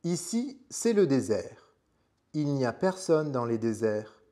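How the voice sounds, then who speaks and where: A man voicing a calm, slow, enigmatic snake. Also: medium pitch. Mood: calm, cryptic.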